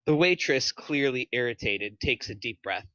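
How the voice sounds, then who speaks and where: A man's voice: medium pitch, lively intonation, moderate pace, pushed and bright, no room sound, dull audio. A man narrating the stage directions of a script at a table read. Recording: clear background noise.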